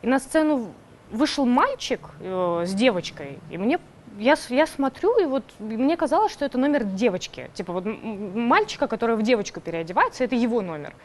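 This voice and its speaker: A woman's voice: on the high side, sing-song, a little fast, a little hiss or hum. A twenty-four-year-old woman from Kazakhstan, a drag performer, telling an anecdote animatedly in a documentary interview.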